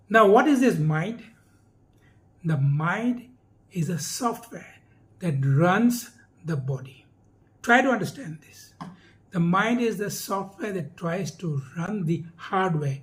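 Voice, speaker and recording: A man with a notably high-pitched voice gives an explanatory talk. He speaks slowly and deliberately, stressing key words as he presents ideas in simple terms for viewers. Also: darkish tone.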